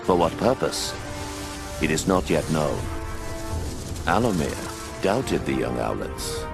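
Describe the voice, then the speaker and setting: Scheming owl elder, low voice, measured narration, music bed. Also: male voice, very animated, creaky, heavily acted. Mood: grave. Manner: measured, grave storytelling. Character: older male owl mentor, scheming and confident. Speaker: narrator and mentor figure. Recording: game audio with music behind.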